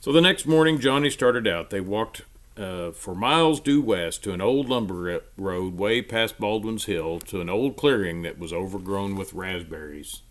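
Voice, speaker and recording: A man reading a children's storybook aloud in an expressive, emphatic storytelling manner. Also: deep voice, normal speed.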